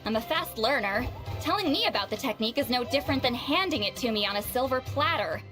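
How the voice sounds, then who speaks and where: A proud, prickly shaman of great age speaks with a high, lively voice, full of haughty, dry sarcasm. It sounds like a game cutscene with soft music behind. She treats the others as beneath her. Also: quick pace. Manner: haughty, defensive and dryly sarcastic. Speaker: shaman character in a video game.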